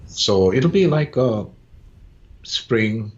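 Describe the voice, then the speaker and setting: An older man, telling stories unhurriedly as a podcast guest, pausing on "uh" and tagging lines with "yeah?". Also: lowish voice, lively intonation, darkish tone. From Hawaii. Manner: unhurried, with frequent "uh" pauses and "yeah?" tags. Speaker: guest, farmer and business owner. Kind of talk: relaxed storytelling in conversation. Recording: dull lo-fi sound.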